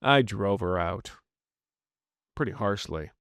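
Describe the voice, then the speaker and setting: A man's voice: on the low side, lively intonation, slow, fairly bright tone, clear, resonant tone, no echo, clean studio sound, voice pushed down. A man voicing the blunt, regretful, angry inner voice of a man's psyche in an audio story, speaking tersely. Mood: bitter, guilty. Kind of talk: scripted audio fiction dialogue.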